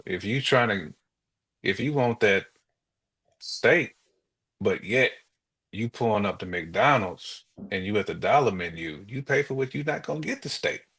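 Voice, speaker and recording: An American man co-hosting a podcast in casual, slangy chat, telling things in an animated way and acting out quotes. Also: on the low side, breathy edge. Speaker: podcast co-host.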